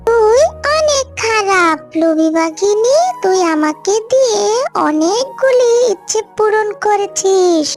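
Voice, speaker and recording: A woman's voice: extremely high pitch, animated, takes it slowly, full character voice. A woman voicing an indignant magic talking jackfruit in a cartoon, scolding and defiant. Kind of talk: cartoon character dubbing. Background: background music.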